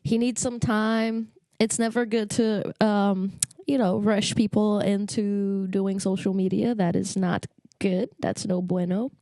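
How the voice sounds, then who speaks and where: A woman's voice: mid-range voice, level tone, unhurried, warm tone, no room sound. A young woman from Brazil, chatting casually and rambling through a storytime vlog, self-mocking and jumping between tangents. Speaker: vlogger. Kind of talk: casual storytime chat to camera.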